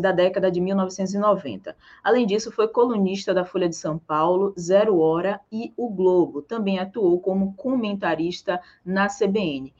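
A woman's voice: on the low side, darkish tone. Bahian woman co-hosting news, slightly dull audio. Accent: Bahian Brazilian. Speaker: news show co-host. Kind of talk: live news presenting with informal chat.

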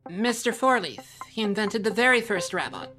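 A woman's voice: medium pitch, animated, normal speed, over music, lightly acted. A woman playing a frightened thirty-something career rabbit held hostage in an audio drama, plaintive and nervous. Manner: plaintive, fearful.

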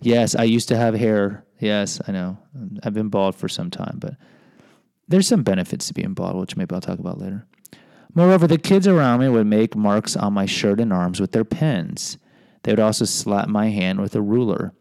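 A man's voice: fairly low pitch, normal speed, gravelly, darkish tone, no room sound. A middle-aged American man hosting a podcast, reading a personal memoir with rambling, self-deprecating asides. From United States. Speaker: podcast host and teacher.

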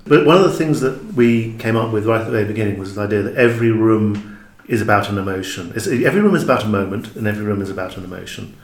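A man's voice: fairly low pitch, racing, hardly any pauses. A man speaking as an interview guest, explaining his creative work in a reflective, emphatic way. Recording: echoey room.

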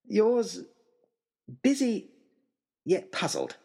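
Guy reading an old timey adventure story, theatrical, highish husky voice, lots of dramatic pauses. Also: sing-song, warm tone. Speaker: narrator of a serial audio story. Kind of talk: scripted first-person adventure narration, in old-fashioned letter form.

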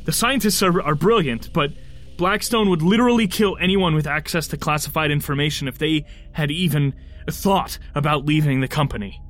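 A man's voice: fairly high pitch, moderate pace, fairly bright tone, faint music behind, natural voice. An actor playing a bitter, sarcastic, foul-mouthed henchman of a ruthless boss in an audio drama. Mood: grim, explaining. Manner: sardonic, profane.

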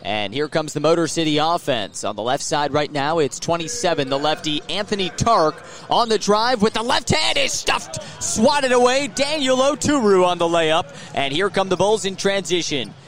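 An American man doing live radio play-by-play of a basketball game, punchy and rising excitedly with the action. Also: high-pitched, normal speed, few pauses. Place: live inside a sports arena.

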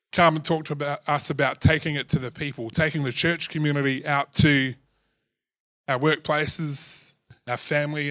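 A man, a pastor giving a casual, joking Sunday sermon in church, his voice a little husky. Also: a little high, a bit bright, no echo, clean studio sound. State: slightly husky voice.

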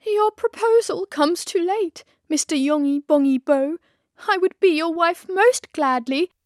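A regretful, plaintive married lady from a nonsense storybook poem, played by a woman. Her voice is high, and she declaims her verses sorrowfully in a dry, close space. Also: unhurried, no echo. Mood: sad regret.

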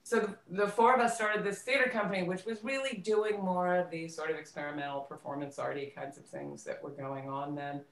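A woman's voice: low voice, steady tone, a little fast, gravelly, few pauses. A middle-aged American woman reminiscing casually as an interview guest, in a reflective, conversational way that searches for details. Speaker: interview guest, theater actress and director. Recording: roomy, echoey voice pickup.

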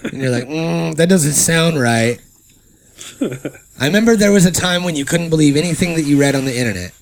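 A man's voice: a little high, expressive, fairly quick, vocal fry, slight background noise. A man in his early thirties, co-hosting a casual chat, joking and riffing with mock quotes. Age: 30s. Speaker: podcast co-host.

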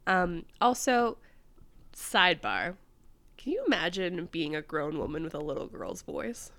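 A woman's voice: fairly low pitch, sing-song, unhurried, fairly bright tone, no echo. A young woman co-hosting a podcast, agreeing easily and often dropping into a near-whisper. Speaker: podcast co-host. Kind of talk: casual chat recapping a sitcom episode.

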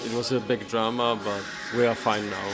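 Flat-toned man. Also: fairly low pitch, normal speed, loud music behind the voice. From Czech Republic. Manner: hesitant, thoughtful, many fillers. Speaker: interviewee, activist. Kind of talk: personal interview.

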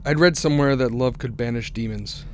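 Radio drama lead man acting: haunted traveler, frightened, stammering, slowly unraveling, faint noise on the recording. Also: mid-range voice, lightly acted. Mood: anxious, hopeful. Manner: stammering, anxious. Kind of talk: radio drama first-person narration and acting. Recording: noisy radio drama recording, vehicle ambience.

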